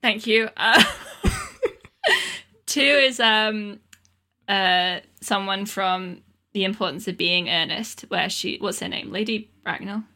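Giggly, bright-voiced woman podcaster. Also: mid-range voice, slow. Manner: giggly, self-conscious. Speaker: podcast co-host. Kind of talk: casual comedy chat.

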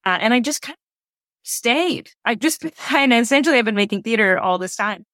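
A woman's voice: on the high side, animated, fairly quick, fairly bright tone, no echo, studio quality. A woman speaking as an interview guest, a theater director talking conversationally.

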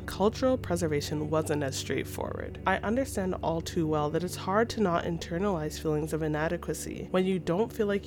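A woman host narrating her show in a reflective, measured way. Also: on the low side. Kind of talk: scripted narration. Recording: music bed behind voice.

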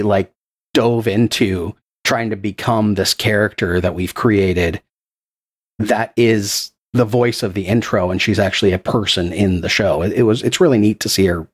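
A man's voice: lowish voice, steady tone, normal speed, breathy edge. A man who produces a podcast and runs its game, answering interview questions in an earnest, explanatory way with a few restarts, speaking into a podcast microphone. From Texas.

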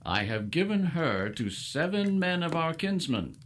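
A man playing a devout, hospitable father of biblical times in an audio drama, speaking in a formal, expressive way. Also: fairly high pitch, unhurried, slight background noise. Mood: solemn. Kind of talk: full-cast audio drama acting.

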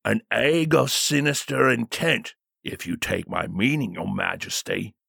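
A man's voice: on the low side, unhurried, vocal fry, bright, forceful tone, breathy edge, no room sound, clean studio sound, full character voice. A man voicing a burly, deferential armored bodyguard in a storybook, speaking in a grave, formal, warning manner. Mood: ominous, wary.

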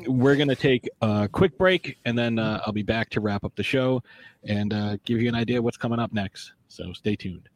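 A man hosts a casual book interview podcast, rambling through his thoughts with fillers and restarts. His voice sits at an ordinary pitch for a man. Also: normal speed. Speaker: podcast host.

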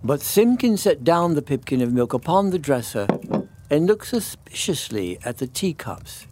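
A male storybook narrator reads a children's tale expressively. His pitch rises and falls in a very animated way as he tells the scripted story. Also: normal speed, background noise.

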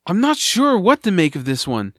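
A man's voice: sits high, wildly swooping pitch, moderate pace, a bit bright, no echo, clean studio sound, exaggerated voice. A man playing an earnest, anxious young hero in an audio drama, wisecracking and thinking aloud in a slightly jittery way. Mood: urgent, anxious.

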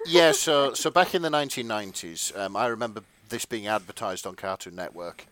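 A young man with a slightly deep voice narrates fan fiction as comedy. He reads it as a dramatic story, and the sound is dry with no room around it. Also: a little fast, a bit bright, no echo. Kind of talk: comic dramatic reading.

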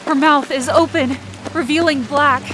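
A woman's voice: high voice, fairly even intonation, measured pace, heavy background noise. A woman playing an anxious, injured young camp counselor, narrating a first-person audio drama in a tense, dramatic way. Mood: frightened, urgent. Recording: rain and ambience behind the voice. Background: rain and outdoor ambience effects.

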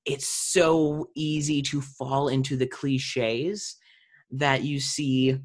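A woman's voice: very deep voice, level tone, takes it slowly. A woman talks in a casual interview style. She sounds earnest and explanatory, like someone working through ideas in conversation. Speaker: interview participant, actor.

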